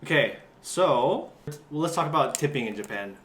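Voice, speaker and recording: A man from Hawaii hosting a casual chat, relaxed and quick to laugh. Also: mid-range voice. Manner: laid-back, laughs often. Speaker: host. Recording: roomy room with faint hiss.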